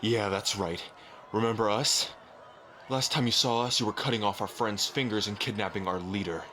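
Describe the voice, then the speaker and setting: Man acting an earnest, lowish-voiced, hot-headed rebel. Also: normal speed, pushed and bright, slight background noise. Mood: angry, threatening. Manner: earnest, emphatic. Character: loyal, hot-headed young male rebel, protective and vengeful. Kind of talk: ASMR roleplay acting.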